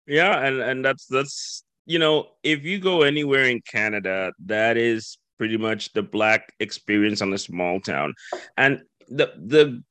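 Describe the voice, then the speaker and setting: A man's voice: medium pitch, a little slow, pushed and bright, clean tone, no echo. A man from the Dominican Republic hosting a casual interview, talking in loose run-on thoughts and acting out quoted voices. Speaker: podcast host.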